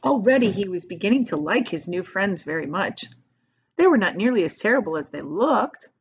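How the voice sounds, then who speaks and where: A woman reading a children's story aloud like a teacher, expressively, pausing to explain things in simple asides. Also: medium pitch, very animated, slightly rough. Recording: dull, lo-fi sound.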